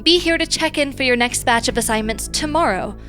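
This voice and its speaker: A composed, businesslike woman boss in an audio drama. She speaks formally and dryly in a fairly high voice, with faint music behind. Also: pushed and bright. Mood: dryly amused. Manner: formal, measured phrasing, dry.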